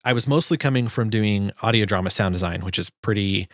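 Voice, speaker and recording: A man's voice: lowish voice, fairly quick, no room sound, studio quality. A man giving a casual, informal explainer as a sound designer, thinking aloud as he goes. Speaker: sound designer presenting own project.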